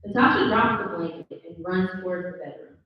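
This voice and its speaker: A woman's voice: lowish voice, brisk, slight background noise. A woman reading stage directions as the narrator of a live script table read. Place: live event in a room. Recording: very echoey room, distant, slightly dull.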